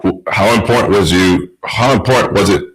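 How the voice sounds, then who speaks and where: A man's voice: lowish voice, brisk, slightly rough, poor audio. A man hosting a podcast, asking direct questions in a casual interview. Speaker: podcast host.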